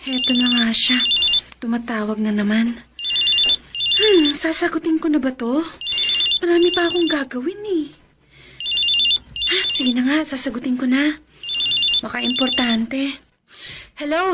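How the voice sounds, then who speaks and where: A woman's voice: high-pitched, a little slow, pauses often, heavy background noise. A woman acting an anxious, caring friend in a Filipino radio drama, exclaiming and thinking aloud. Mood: pondering.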